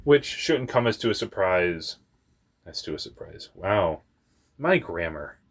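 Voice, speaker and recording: Deep-voiced young man rambling, slow. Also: big pitch swings. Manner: rambling and candid, laughing at himself, swearing, tangents. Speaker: vlogger. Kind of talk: personal storytime monologue.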